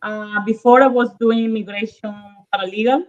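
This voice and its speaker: A woman's voice: fairly high pitch, fairly even intonation, moderate pace, highs cut off. A woman from northern Italy with an Italian accent, speaking English as a second language, chatting as a podcast guest with hesitant fillers and restarts. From Verona, northern Italy. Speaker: guest realtor. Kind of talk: casual interview chat.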